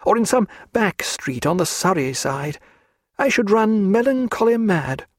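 A man's voice: big pitch swings, measured pace, dry recording, studio quality, exaggerated voice. A man reading aloud voices a proud, desperate young aristocratic woman who is pregnant and pleads in an upper-class manner. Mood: pleading. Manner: imploring, high-strung, upper-class.

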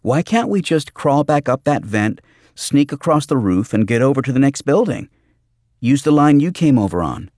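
A man's voice: medium pitch, big pitch swings, normal speed, darkish tone, no echo, clean studio sound, voice pushed up, lightly acted. A man voicing a brash, impatient young man in an audiobook thriller, slangy and argumentative.

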